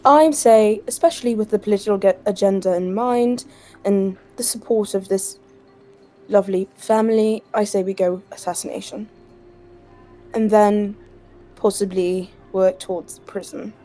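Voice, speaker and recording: Female tabletop player, dark warm timbre, persuasive and logical. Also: medium pitch, moderate pace, faint music behind, poor audio. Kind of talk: in-character tabletop role-play discussion.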